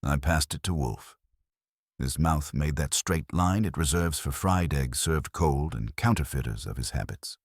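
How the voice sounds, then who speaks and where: A man's voice: a bass voice, measured pace, husky, no room sound, studio quality. A man narrating a detective audiobook in a wry, wisecracking first-person style.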